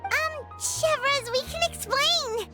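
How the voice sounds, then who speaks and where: An excitable, squeaky-high young courier. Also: female voice, slow pace, over loud music. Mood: flustered. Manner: excitable, flustered. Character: perky, easily flustered young female courier. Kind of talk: scripted video game voice acting.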